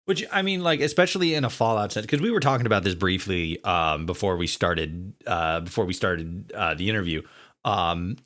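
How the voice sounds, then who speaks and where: A man hosting a casual podcast interview, speaking haltingly as he searches for his words. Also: deep voice, fairly bright tone.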